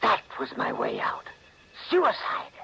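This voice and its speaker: An actor playing an anxious, scheming husband who confesses to murder in a melodramatic 1940s radio mystery. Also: male voice, slow, pushed and bright. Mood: scheming, tense. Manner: melodramatic, intense. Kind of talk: 1940s radio mystery acting, first-person narration. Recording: noisy old 1940s radio recording.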